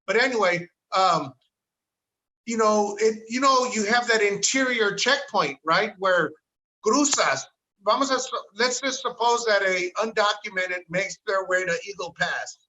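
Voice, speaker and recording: A man's voice: high-pitched, a little slow, pushed and bright, highs cut off. A middle-aged man, a podcast guest who rambles through a story and mixes in Spanish words. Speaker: podcast guest, bar owner. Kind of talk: casual podcast conversation.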